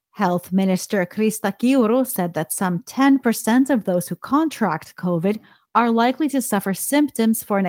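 A woman co-hosting a weekly news show, reading headlines in a clear, even delivery and chatting with her co-host. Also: mid-range voice, dry recording, studio quality. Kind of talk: scripted news reading and casual banter.

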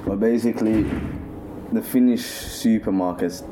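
A young man whose first language is Somali talks in an easygoing way. His voice is very deep. The recording is a field clip with clear background noise. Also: steady tone, normal speed. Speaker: student interviewee.

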